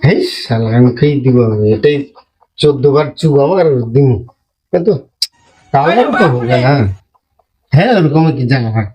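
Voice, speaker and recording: A man's voice: mid-range voice, lively intonation, moderate pace, long pauses, dark tone, breathy edge, low-quality recording. A man playing a stingy old grandpa in a comedy skit, coaxing and making repetitive excuses in a rural North Bengal accent. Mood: grudging, scheming. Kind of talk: comedy skit acting in dialect.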